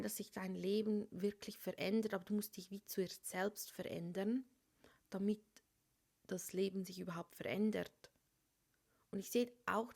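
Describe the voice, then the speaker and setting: A woman giving a tarot reading for a star sign, speaking softly and often dropping into a whisper over the cards. She has a cold. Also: medium pitch, gravelly, breathy edge. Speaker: tarot reader.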